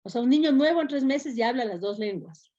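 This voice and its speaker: Older woman, fairly high voice, steady explanatory tone. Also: fairly quick. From Quito, Ecuador. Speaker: educator and school founder. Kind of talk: interview answers. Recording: muffled lo-fi recording.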